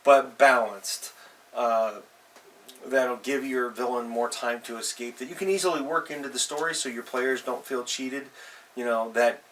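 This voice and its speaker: Male host, bright, forceful voice, informal unscripted advice delivery, emphatic and conversational, recorded a little away from the mic. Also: medium pitch, fairly even intonation, a little slow. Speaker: host of a tabletop role-playing advice show. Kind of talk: casual unscripted advice talk. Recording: distant mic, faint background noise.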